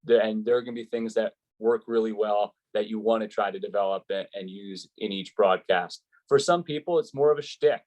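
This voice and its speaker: An American man with a fairly low-pitched voice speaks as a sports announcer being interviewed. He gives advice in a conversational way, explaining his points with examples and questions he poses himself. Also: a little fast, hardly any pauses, darkish tone, dull audio.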